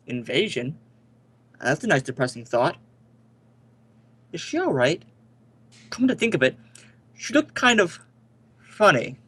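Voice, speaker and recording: Man acting a suburban dad, very lively pitch. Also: unhurried, long pauses, slightly rough, heavily acted. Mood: casual, curious. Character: suburban husband and father, affable turning suspicious. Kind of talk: amateur radio play reading.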